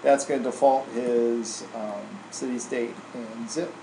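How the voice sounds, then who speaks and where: A man walking through software in an informal, casual tutorial style, like a trainer showing someone the steps. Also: medium pitch, fairly even intonation, unhurried. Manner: casual, informal, tags statements with "right?". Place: indoor room, screen recording voice-over. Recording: roomy echo, faint air-conditioning hum.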